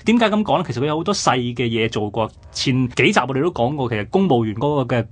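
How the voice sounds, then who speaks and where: A man with a Hong Kong Cantonese accent talks at a very rapid clip in a rough-edged, husky voice. He sounds like a radio host explaining history conversationally, often posing questions and answering them himself. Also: medium pitch, expressive. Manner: explanatory, peppered with rhetorical questions.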